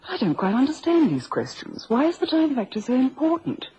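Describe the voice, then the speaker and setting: An actress playing a prim, loyal and defensive secretary in a vintage radio crime drama, speaking formally and precisely. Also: female voice, deep voice, big pitch swings, a little fast, husky, heavily acted. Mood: indignant. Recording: dull, noisy vintage radio recording.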